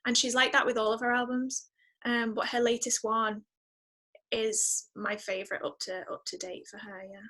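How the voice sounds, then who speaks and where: A woman's voice: a little high, level tone, moderate pace, bright, forceful tone. A woman talking casually as an interview guest, reflective and enthusiastic about music. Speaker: guest singer-songwriter.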